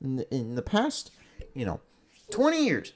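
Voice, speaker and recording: Exasperated sports fan with wildly swinging pitch. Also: huge pitch swings, moderate pace. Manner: exasperated, emphatic, profane. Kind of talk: unscripted opinionated sports rant.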